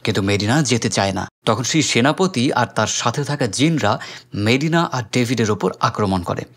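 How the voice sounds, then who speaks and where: A man's voice: medium pitch, lively intonation, normal speed, fairly bright tone. Bangladeshi man narrating a scripted story. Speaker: film recap narrator.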